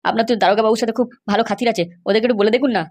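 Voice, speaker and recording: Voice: mid-range voice, steady tone, natural voice. অভিনয়ে খুব দ্রুত কথা বলা কাতর তরুণী. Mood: begging. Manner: pleading, insistent, halting starts. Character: anxious, pleading young woman searching for her grandmother. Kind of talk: amateur cartoon comedy dialogue.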